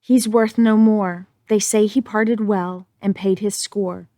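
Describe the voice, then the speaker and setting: Woman reading slow, stoic soldier father. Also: mid-range voice, fairly even intonation, dark tone, dry recording, lightly acted. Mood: stoic grief. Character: stoic old soldier lord, proud bereaved father. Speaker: amateur reader in library staff dramatic reading. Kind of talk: Shakespearean dramatic reading.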